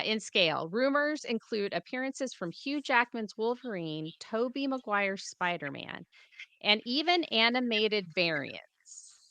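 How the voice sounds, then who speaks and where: Bright, dry-voiced woman podcast host. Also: medium pitch, clean tone, dry recording. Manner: lively, jokey, reads rumors with quips. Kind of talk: casual chat and reading news.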